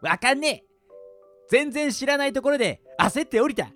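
A man's voice: very high-pitched, animated, moderate pace, pushed and bright, faint music behind, exaggerated voice. A man playing a careless, breezy young male student in an amateur radio drama. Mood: sheepish, bemused.

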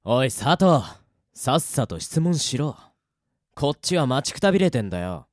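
A man playing a cocky, ruthless young game player in an audio drama, brash and sneering with rough speech. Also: medium pitch, very animated, normal speed, dry recording, studio quality, close to an everyday voice. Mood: impatient.

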